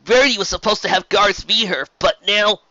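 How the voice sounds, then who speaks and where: A man's voice: high voice, a little fast, sharp tone, dry recording, muffled recording, pitched above the performer's own voice, heavily acted. A man voicing a small, young male dragon who is anxious and guilt-ridden.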